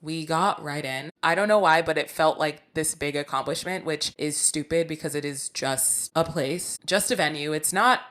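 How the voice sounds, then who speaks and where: Female podcast host, low register, candid casual storyteller with emphatic phrasing. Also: moderate pace, few pauses, fairly bright tone.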